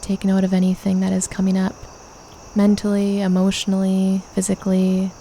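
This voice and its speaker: A woman leading a guided meditation, calmly and gently instructing with unhurried pauses. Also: medium pitch, a little hiss or hum.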